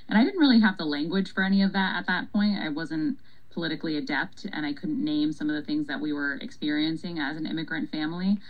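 A woman's voice: deep voice, muffled recording. A young woman from Paraguay, a city council member, answering interview questions in a thoughtful, conversational way with frequent ums and easy laughs.

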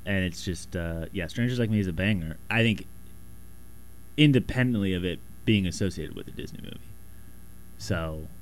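A man with a slightly raspy voice talking casually in a loose, rambling, self-deprecating way. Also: low voice, normal speed, pauses often, rough recording. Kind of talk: casual monologue.

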